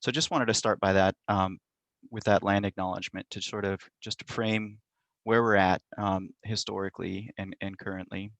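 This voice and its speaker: A middle-aged man speaks in a fairly low voice, recorded dry and close on a computer mic. He presents an academic talk in a measured, thoughtful way, pausing often with ums and uhs. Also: steady tone, a bit bright, dry recording. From Montana, United States. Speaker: university lecturer. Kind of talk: academic presentation over video call. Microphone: computer mic on a video call.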